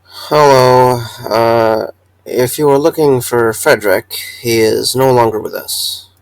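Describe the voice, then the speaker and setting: An actor playing a tired, hesitant young man in an audio drama, speaking haltingly and warily. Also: mid-range voice, steady tone, creaky, clean tone, dry recording, low-quality recording. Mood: wary.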